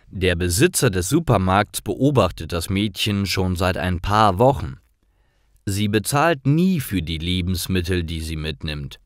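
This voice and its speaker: A man reading simple scripted stories aloud in a clear, deliberate manner, as a storyteller for language learners. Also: low voice, dry recording, clean studio sound.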